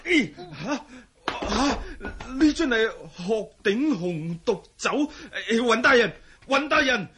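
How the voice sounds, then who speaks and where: A man's voice: very high-pitched, animated, slow, bright, forceful tone, a little hiss or hum, full character voice. A man acting as a brash, anxious swordsman and companion in a Cantonese radio drama, exclaiming emphatically and theatrically. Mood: alarmed, distraught.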